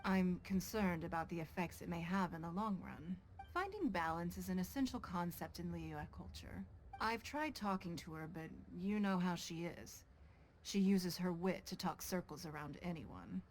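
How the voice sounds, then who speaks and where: An actress playing a composed, dignified city leader in a video game, formal, gracious and measured. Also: female voice, on the low side, slight background noise. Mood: concerned, gracious. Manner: formal, poised. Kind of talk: English video game voice acting.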